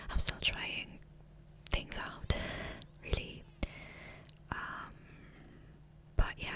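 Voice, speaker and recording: A woman whispering breathily in a casual, rambling ASMR style, close to the microphone. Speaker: ASMR gaming video creator. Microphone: close USB condenser microphone.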